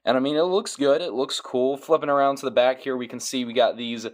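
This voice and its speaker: A man's voice: medium pitch, fairly even intonation, fairly quick. A man doing casual product review commentary as a toy reviewer, with dry, joking asides.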